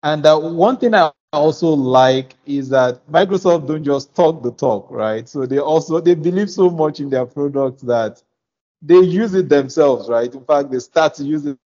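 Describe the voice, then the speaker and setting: A man's voice: on the high side, normal speed, dark tone. A middle-aged man hosting a casual tech discussion over a video call from an office. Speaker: tech show host. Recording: slightly dull video-call audio.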